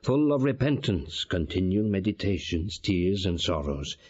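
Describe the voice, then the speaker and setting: An actor playing a gentle, respectful gentleman attendant in a Shakespearean audio drama, with a grave, measured manner. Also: very animated, lo-fi sound, heavily acted. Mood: solemn, gentle. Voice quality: low, husky.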